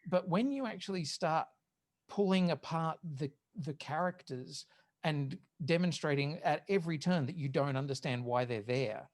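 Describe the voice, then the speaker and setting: A man's voice: fairly high pitch, moderate pace. A man co-hosting a podcast in casual, opinionated discussion of pop culture, swearing now and then. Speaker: podcast host.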